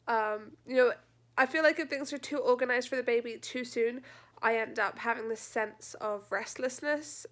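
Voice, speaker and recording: A woman's voice: fairly high pitch, pushed and bright. A young woman chatting informally in a casual personal vlog, sounding congested with a blocked nose and a sore throat. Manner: chatty, informal, laughs lightly at her own complaints. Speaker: vlogger. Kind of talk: casual pregnancy update vlog.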